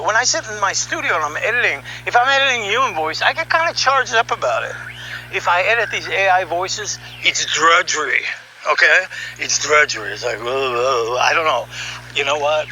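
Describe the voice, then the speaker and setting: Sharp, bright-voiced man riffing sardonically. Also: high-pitched, a little hiss or hum, low-quality recording. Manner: animated, sardonic, conversational with rhetorical questions. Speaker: podcast host and audio artist. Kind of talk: opinionated monologue, reading article excerpts aloud.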